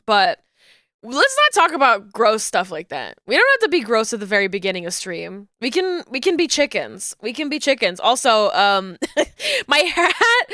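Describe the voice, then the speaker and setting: Woman, congested from a cold, rambling casual streamer chat, slightly high voice, crisp and smooth. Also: sharp tone, no room sound, studio quality. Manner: chatty and rambling. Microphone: desk microphone.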